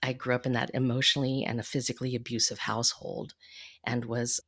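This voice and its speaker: A woman from the United States speaking as a podcast guest, telling her personal story in a candid, measured and thoughtful way. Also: rumbling low, little pitch movement, a bit bright. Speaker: podcast guest, financial coach. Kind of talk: reflective personal storytelling in an interview.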